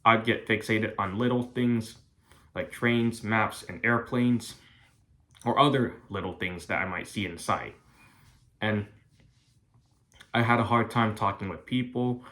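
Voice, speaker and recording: A young man from the United States giving a prepared class speech in an earnest, careful, halting way. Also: fairly low pitch, bright, forceful tone. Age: young adult. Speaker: college student.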